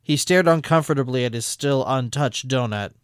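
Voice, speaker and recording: A man narrating a fan fiction story in a plain, even way. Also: mid-range voice, normal speed, clear, resonant tone, dry recording, clean studio sound. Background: background music.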